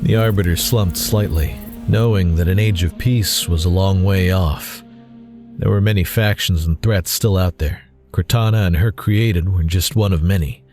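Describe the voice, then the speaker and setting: A man's voice: deep voice, moderate pace, a little mellow, soft background music. A man narrating a scripted science fiction story as the storyteller of an audio drama.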